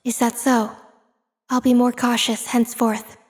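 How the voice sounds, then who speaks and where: A woman playing a polite, detached young observer in a manga dub, formal and deadpan. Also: on the high side, unhurried, no echo, clean studio sound. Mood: polite, composed.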